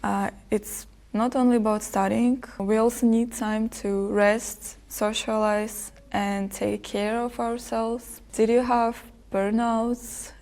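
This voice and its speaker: A young woman, a student, talking thoughtfully on a podcast with slow, hesitant phrasing. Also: a little high, little pitch movement, a little mellow. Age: young adult. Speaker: podcast guest. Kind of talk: casual conversation. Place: podcast studio.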